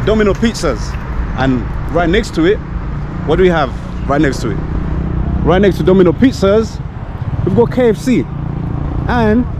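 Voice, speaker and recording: Higher-voiced young London man vlogging outdoors. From London, England. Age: young adult. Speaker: travel vlogger. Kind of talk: casual vlog to camera while walking. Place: outdoors on city streets. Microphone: handheld camera at arm's length. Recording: outdoor street with clear traffic noise. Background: street and car noise.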